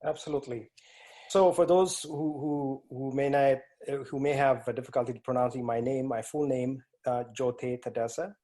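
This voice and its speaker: A man's voice: mid-range voice, fairly even intonation, normal speed, dark tone. Man with an East African accent. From East Africa (Ethiopia and Kenya). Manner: hesitant, many fillers and restarts. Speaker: guest, IT strategist. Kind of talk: interview answers. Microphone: remote call.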